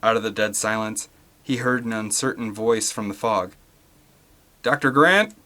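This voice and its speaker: A man's voice: on the low side, level tone, normal speed, fairly bright tone. A man reading an adventure story aloud as scripted, dramatic audiobook narration. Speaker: audiobook reader.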